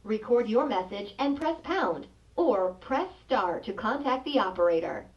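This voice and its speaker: A woman's voice: fairly high pitch, lively intonation, measured pace, recorded from a distance, muffled recording. A woman giving a recorded automated voicemail announcement, heard as phone system playback.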